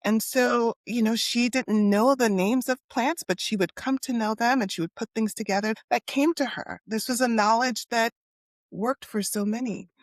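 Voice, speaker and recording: A woman whose first language was Haitian Creole, a psychologist speaking in a thoughtful, measured way. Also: a little high, no echo, clean studio sound. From United States (New York and Miami). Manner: thoughtful, measured, with soft fillers. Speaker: guest, clinical and community psychologist. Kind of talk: reflective conversational interview.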